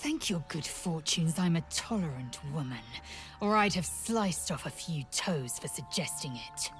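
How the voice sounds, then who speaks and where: A proud, low-voiced githyanki warrior. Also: female voice, expressive, unhurried, soft background music. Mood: scornful. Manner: haughty, formal, clipped menace. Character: proud, fierce alien githyanki warrior woman, zealous and scornful. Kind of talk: dramatic video game acting.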